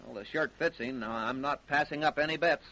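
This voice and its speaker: Actor as a rapid-fire American homicide detective. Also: male voice, a little high, very noisy, close to an everyday voice. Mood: businesslike, probing. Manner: blunt, interrogating. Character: brisk, pushy homicide detective. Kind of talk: old-time radio crime drama acting.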